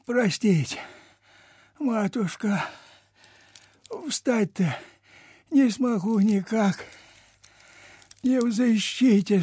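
Radio drama: meek, ailing old male peasant, breathless and halting, extremely slow delivery, mellow tone, dry sound. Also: sits high, husky, no room sound, full character voice. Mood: meek, feeble. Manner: humble, halting, sighing folk speech. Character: frail, meek, sick old peasant man. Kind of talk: mid-20th-century radio theatre acting.

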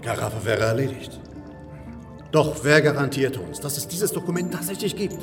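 An actor playing a proud, shrewd and wealthy merchant banker in a German historical radio drama, formal and pointed, courteous with an edge of menace. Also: male voice, mid-range voice, sing-song, moderate pace, over music. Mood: skeptical. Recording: echoing hall, faint ambient noise.

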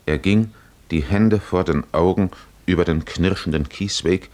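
A man narrating a 1950s German radio drama as a measured, solemn literary storyteller. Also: sits low, darkish tone. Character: solemn male storyteller of an epic.

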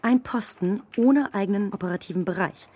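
An actress in a radio play voicing a coolly cynical, calculating female campaign strategist with a husky voice and a methodical, insistent delivery. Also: mid-range voice, a little fast, a little mellow, close to an everyday voice. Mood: businesslike.

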